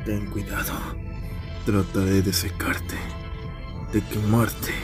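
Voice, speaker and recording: A man's voice: fairly low pitch, little pitch movement, slow pace, music in the background. A man with a Latin American Spanish accent, in an anime fan dub, playing a brash, stubborn, weary warrior. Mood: brooding, determined. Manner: brooding muttering that erupts into strained shouts.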